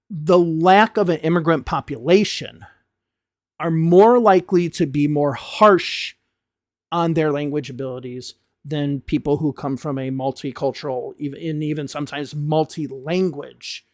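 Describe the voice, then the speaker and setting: A young American man speaks straight to his English students in a frank, fired-up tone, hitting words hard for emphasis. His voice sits high for a man. The sound is dry and close, with no room around it. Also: steady tone, a little slow, no echo. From United States. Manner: frank, impassioned rant with emphatic stresses. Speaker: English teacher. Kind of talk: talking-head video lesson.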